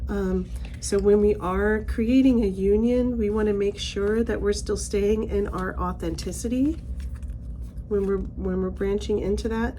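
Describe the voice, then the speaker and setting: A middle-aged woman giving a casual spiritual card reading, her voice slightly raspy as she recovers from laryngitis, chatty and rambling with many fillers and restarts. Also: mid-range voice, warm tone, slight background noise. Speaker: oracle card reader.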